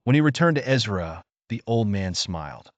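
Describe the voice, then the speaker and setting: A man narrating a scripted bedtime story for children in a measured, expressive manner. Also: lowish voice, no room sound, lo-fi sound.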